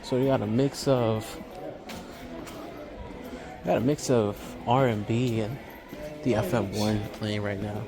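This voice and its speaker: A man's voice: on the low side, fairly even intonation, measured pace, pauses often. Man rambling casually over background store music. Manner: rambling, hobbyist talk. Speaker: amateur video maker. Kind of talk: casual off-the-cuff walkthrough commentary. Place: inside a large hardware store. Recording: store music playing behind.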